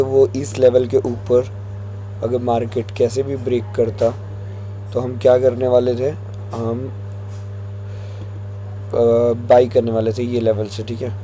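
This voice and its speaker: A man with a hoarse throat, walking viewers through stock chart levels in a relaxed tutorial, mixing in English phrases. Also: medium pitch, slight background noise, poor audio. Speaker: stock market analyst.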